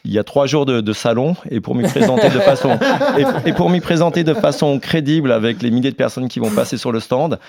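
A man in his fifties from the United States, with English as his first language, chatting relaxed as a guest in a radio studio. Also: mid-range voice, a little fast, vocal fry, hardly any pauses, over a noisy crowd. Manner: relaxed, chatty, with frequent restarts. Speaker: guest, sportswear brand founder. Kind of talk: radio interview.

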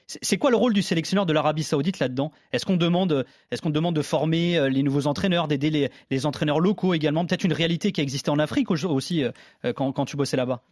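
A man's voice: on the high side, fast, darkish tone, dry recording, clean studio sound. A man asking questions as a sports interviewer in a broadcast interview.

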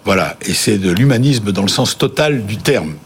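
A man's voice: lowish voice, lively intonation, moderate pace, husky. A male host running a business interview with playful, joking questions.

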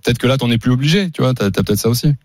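A man's voice: mid-range voice, expressive, very fast, darkish tone, dry recording, studio quality. A man hosting a live sports radio show in a casual, informal style, with stop-and-start questions and a teasing touch. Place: dry radio studio.

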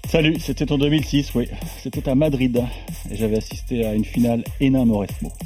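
A man's voice: medium pitch, brisk, darkish tone, soft background music. A man, a guest tennis journalist, talking through his views with hesitant restarts. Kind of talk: casual podcast discussion.

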